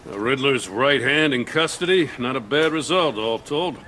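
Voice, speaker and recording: An actor playing a weary, cautious veteran police commissioner in a video game, world-weary and matter-of-fact. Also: male voice, medium pitch, lively intonation, normal speed, pushed and bright, a little hiss or hum. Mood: reflective, cautious.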